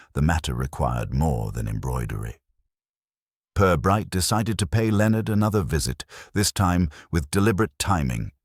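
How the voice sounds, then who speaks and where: Male narrator for a scripted mystery: extremely low pitch, animated intonation. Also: slightly rough, dry recording, studio quality.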